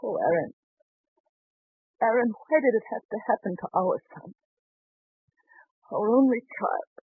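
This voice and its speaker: A woman's voice: fairly high pitch, animated, a little slow, husky, no echo. An actress playing a concerned, hopeful wife and mother in a 1940s radio drama, earnest and encouraging. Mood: pleading. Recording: tinny, band-limited 1940s radio broadcast.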